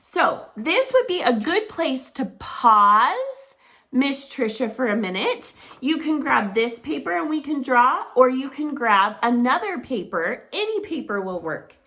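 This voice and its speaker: A middle-aged woman teaching preschoolers, talking to small children in an animated, sing-song teacher voice. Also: sits high, measured pace. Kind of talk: children's circle-time lesson to camera.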